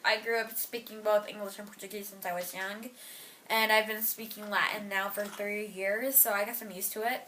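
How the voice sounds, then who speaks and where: A woman's voice: medium pitch, steady tone, normal speed, sharp tone, a little hiss or hum, rough recording. A teenage girl answering interview questions casually, with lots of fillers, trailing off at the ends of her thoughts. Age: teens. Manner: chatty, filler-heavy, trailing off.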